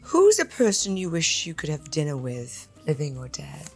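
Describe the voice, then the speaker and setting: A middle-aged woman with a Welsh accent chatting animatedly in a quick question game, gasping and playfully emphatic. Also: deep voice, wildly swooping pitch, gravelly, soft background music. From Wales. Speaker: actress, interview guest. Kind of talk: casual rapid-fire chat.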